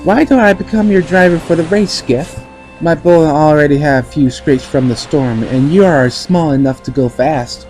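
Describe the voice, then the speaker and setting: A man's voice: on the high side, moderate pace, darkish tone, faint music behind, close to an everyday voice. A man playing a confident, kindly champion sailor, earnest and encouraging, in an amateur audio drama. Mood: reassuring.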